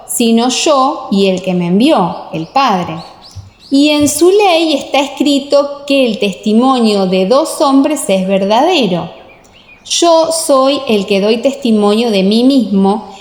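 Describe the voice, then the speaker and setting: A woman's voice: a little high, a little mellow. A woman voicing Jesus as a solemn, authoritative teacher in an audiobook radio play. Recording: echoey, long reverb.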